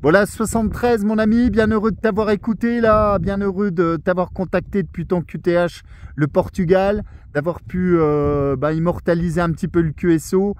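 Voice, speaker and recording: A man's voice: high-pitched, fairly even intonation, moderate pace, a little mellow, a little hiss or hum. A man chatting as an amateur radio operator outdoors on a mountain at night, friendly and chatty with polite sign-offs, into a handheld radio mic. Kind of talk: casual radio chat.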